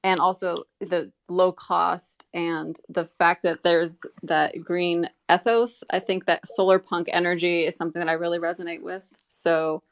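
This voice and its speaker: An American woman chatting casually as an interview guest, rambling and halting as she rephrases mid-sentence. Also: on the low side, level tone. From United States. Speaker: interview guest, community member. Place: live online audio group chat.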